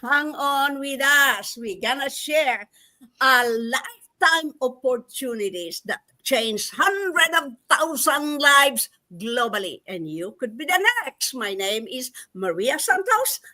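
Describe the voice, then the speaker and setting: A woman's voice: sits high, expressive, takes it slowly, bright, forceful tone. An older woman from the Philippines, a grandmother, co-hosting a live online webinar in an encouraging manner. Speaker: co-host.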